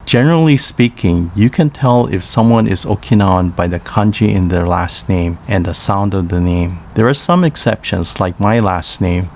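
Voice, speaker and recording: A man narrating a language lesson in a careful, scripted way, reading pairs of names slowly. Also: low voice, a little hiss or hum. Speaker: language teacher.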